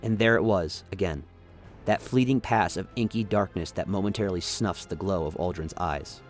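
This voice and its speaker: A man with a lowish voice narrates a scripted radio play. His delivery is dramatic storytelling, set over a music bed. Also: moderate pace.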